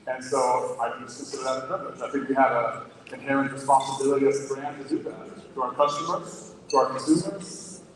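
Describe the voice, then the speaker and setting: A man's voice: mid-range voice, steady tone, brisk, noisy background. Swedish man on a panel, echoey hall. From Sweden. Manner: earnest, hesitant with fillers. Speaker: company sustainability executive, panelist. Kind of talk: panel discussion on stage. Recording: distant mic in an echoey hall with crowd.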